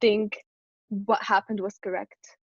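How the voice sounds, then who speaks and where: Female discussion participant, twenties, medium pitch, conversational opinions, close and lo-fi online sound. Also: flat delivery, slow, dry recording. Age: 20s. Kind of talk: casual opinion sharing. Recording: dry, dull online call audio.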